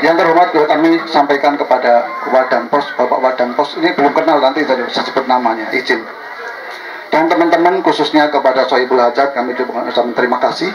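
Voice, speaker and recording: A man's voice: mid-range voice, fast. A man opening a formal speech with Arabic prayers, then greeting guests hesitantly with many fillers. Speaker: speaker at a community gathering. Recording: dull noisy recording.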